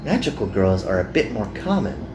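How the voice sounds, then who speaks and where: A man's voice: lowish voice, sing-song, moderate pace, roomy sound, noisy background. A man voicing an earnest, perky young magical girl from an anime game.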